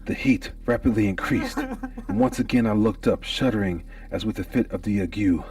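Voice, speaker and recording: A man's voice: lowish voice, very animated, moderate pace, darkish tone, far from the mic, faint music behind. A man giving a theatrical, anguished and intense first-person reading of a gothic horror story.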